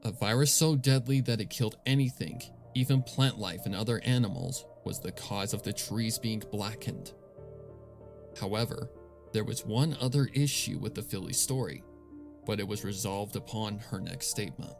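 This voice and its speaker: A man narrating a dark story in a somber, dramatic reading style, with music playing underneath. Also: fairly low pitch, moderate pace, vocal fry. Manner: somber, grave. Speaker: narrator, fan fiction reader.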